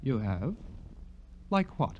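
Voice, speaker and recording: Brittle, intense writer, swinging pitch. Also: male voice, very animated, slow, dark tone, highs cut off. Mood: curt, guarded. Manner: terse, brittle, volatile. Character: touchy, intense male writer, bitter and devoted. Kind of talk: 1950s radio crime drama acting.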